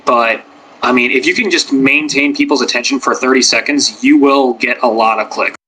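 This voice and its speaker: Man, bright tense tone, casual rambling panel chat, lots of fillers. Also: medium pitch, steady tone, normal speed, slight background noise, low-quality recording. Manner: rambling, filler-heavy, many "like"s. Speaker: podcast panelist, ASMR creator.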